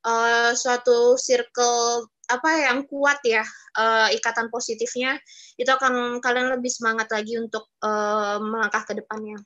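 A woman's voice: on the high side, level tone, normal speed, a bit bright, dull audio. A woman in her twenties teaching students in a casual, chatty way over a video call. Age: 20s. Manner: chatty, addresses students as younger siblings. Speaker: webinar speaker.

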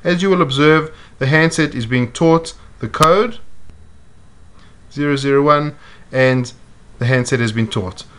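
A man giving a measured, step-by-step walkthrough of programming a device, voiced over close-up footage. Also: medium pitch, a bit bright, smooth. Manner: measured, methodical explaining. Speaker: product demonstrator. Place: indoors at a table.

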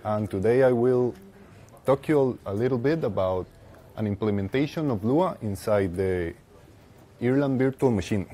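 A young man with a Costa Rican Spanish accent giving a technical presentation as a conference speaker, haltingly, with pauses mid-phrase. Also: mid-range voice, dark tone, a little hiss or hum. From Costa Rica. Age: young adult. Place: lecture room with seated audience, indoors.

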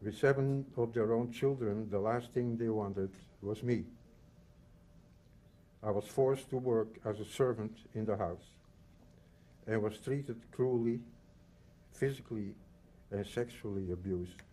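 A man in his eighties from the Netherlands and Belgium, reading a prepared personal testimony in measured, solemn short phrases. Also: fairly low pitch, dark tone. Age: 80s. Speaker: Holocaust survivor giving testimony.

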